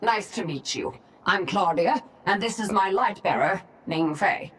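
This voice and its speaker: A woman's voice: mid-range voice, a little slow, a bit bright. A woman playing a composed, polite but firm minder in a video game, speaking with control. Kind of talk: video game cutscene dialogue. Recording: clear noise behind the voice.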